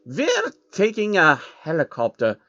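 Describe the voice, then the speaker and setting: A man voicing a menacing, condescending baron with a deliberate, sardonic manner for a story reading. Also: on the high side, huge pitch swings, pushed and bright, dry recording, muffled recording, voice pushed up, exaggerated voice. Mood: sardonic, irritated.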